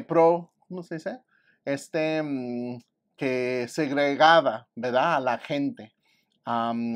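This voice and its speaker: A middle-aged man, a teacher giving informal, hesitant commentary as he shares a picture book. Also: mid-range voice. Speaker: art teacher reading a picture book aloud. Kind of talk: read-aloud with informal bilingual commentary. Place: indoor room with poster-covered wall and curtain.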